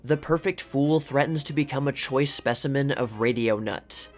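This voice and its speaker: Guy narrating old magazine pieces from a script, mid-pitched voice, bit of music behind him. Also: normal speed. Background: background music.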